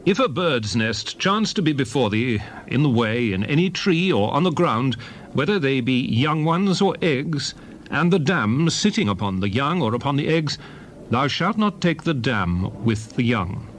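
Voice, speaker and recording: A man reads old scripture aloud in a solemn, dramatized style. His mid-pitched voice rises and falls widely through measured, declarative phrases. Faint background ambience sits behind the reading. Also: big pitch swings, a little hiss or hum. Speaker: Bible reader.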